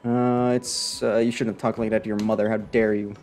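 A young man streaming a game with sarcastic, deadpan and exasperated commentary, close on a desk microphone. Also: lowish voice, fairly quick, soft background music. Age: young adult. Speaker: streamer playing and reacting to a game. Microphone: desk microphone close up, with headset.

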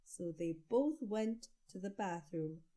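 Slow-paced, mellow woman reading a storybook. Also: on the low side, animated. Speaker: children's story reader. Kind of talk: read-aloud storybook narration.

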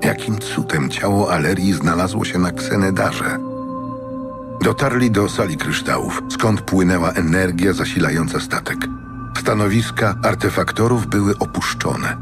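Male narrator for fantasy audio drama, very low-pitched, music underneath. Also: normal speed, creaky.

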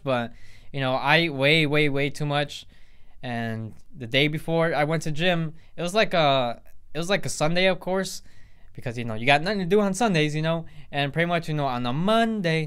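Teen male vlogger, smooth clear voice a touch high, casual rambling delivery with lots of fillers. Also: a bit bright. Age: teens. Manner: rambling, full of fillers and restarts. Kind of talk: casual storytime vlog. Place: indoors in front of a plain grey backdrop.

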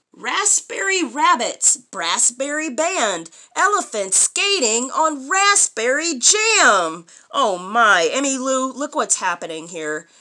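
Female picture-book reader, thirties: higher-pitched, very animated intonation, expressive child-directed delivery. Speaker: storyteller reading a children's picture book aloud. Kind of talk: read-aloud to a young child, with questions and commentary. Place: small furnished room indoors.

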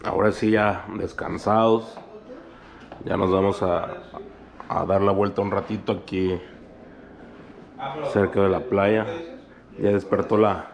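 A man's voice: sits low, level tone, takes it slowly, vocal fry, long pauses. A young Mexican man with a Mexican Spanish accent, hosting a casual, upbeat travel vlog and often laughing. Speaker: vlogger for a freestyle league channel.

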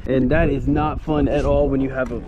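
Excited man fishing from a kayak. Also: mid-range voice, a little fast, highs cut off, heavy background noise. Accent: American. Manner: excited, loose, swears casually, talks to viewers as guys. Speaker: fishing vlogger. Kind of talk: casual running commentary while fishing. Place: kayak on open shallow water outdoors. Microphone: action camera mounted on kayak.

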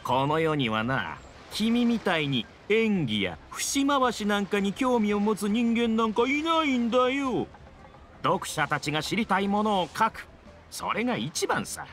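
Smug pushy newspaper man for a game, bright sharp voice, bit of music behind. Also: high-pitched, exaggerated voice. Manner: oily flattery turning to sneering scorn. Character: smug, pushy middle-aged male tabloid reporter. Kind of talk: dramatic Japanese video game voice acting.

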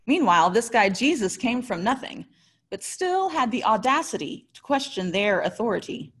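A woman in her thirties with an American accent preaches in a fairly high voice. She speaks as a pastor working from prepared remarks, keeping the delivery conversational. Her storytelling is expressive and articulate. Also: normal speed, breathy edge. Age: 30s. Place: small indoor room.

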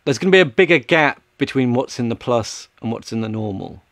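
A man's voice: mid-range voice, animated, fairly quick, fairly bright tone, no room sound. A man in his thirties explaining things in a casual tech chat, speaking into a close mic in a small room. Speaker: podcast panellist. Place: small home room with shelves.

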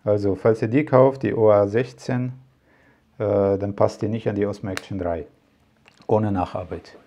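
Low, mellow man rambling about gear. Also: fairly even intonation, normal speed. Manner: rambling, many fillers and asides. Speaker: tech vlogger. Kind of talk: casual product talk to camera.

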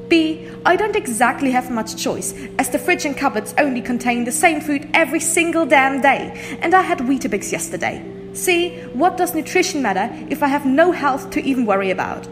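A woman's voice: sits high, animated, normal speed, in a large hall, over music. A woman playing a bored, sarcastic twenty-one-year-old daughter in an audio drama, with a dry, argumentative manner. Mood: exasperated.

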